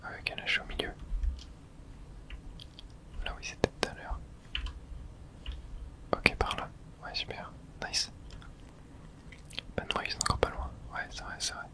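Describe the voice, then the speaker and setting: A woman's voice: a little slow, long pauses. A woman giving casual, slangy ASMR gameplay commentary, reacting aloud to the game in whispers.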